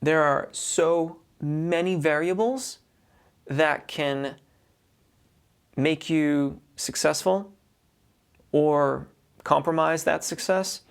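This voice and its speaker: A man's voice: fairly high pitch, very slow, creaky, pauses often, clear, resonant tone. A man who teaches voice, reading a viewer's question aloud and then giving practical advice, often restarting his sentences. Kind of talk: instructional talk to camera.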